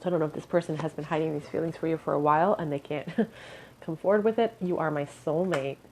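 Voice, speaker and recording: A woman giving a pick-a-card tarot reading in a musing tone, her voice a little hoarse and scratchy, often clearing her throat. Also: low-pitched, fast, darkish tone. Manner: musing, hedges with "I feel". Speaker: tarot reader.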